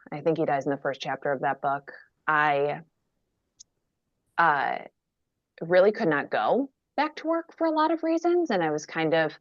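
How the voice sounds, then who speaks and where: A low-pitched woman from Minnesota speaks frankly and wryly, undercutting heavy moments with dry self-deprecating jokes. Her voice sits dead and close, with no room around it, and comes through a dull, lo-fi video call line. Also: dry recording. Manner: candid, wry, self-deprecating humor. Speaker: guest author. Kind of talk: conversational interview answer.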